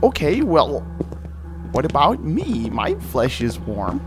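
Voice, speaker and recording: A man's voice: on the high side, huge pitch swings, takes it slowly, over music, full character voice. A man playing an anxious, naive young assistant in an audio drama, hesitant and full of worried questions.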